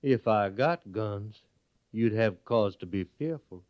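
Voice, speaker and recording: A bitter Southern cavalry trooper, once a prisoner. His low voice drawls with defiance and resentment. It is radio Western acting on a muffled vintage recording. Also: expressive, slow pace. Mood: defiant.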